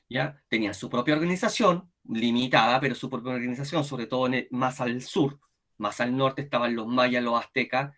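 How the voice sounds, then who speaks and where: A man with a Chilean accent lecturing a history class online, constantly checking in with his students as he talks. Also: medium pitch. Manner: animated, keeps checking in with "¿cierto?" and "¿ya?". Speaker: history teacher.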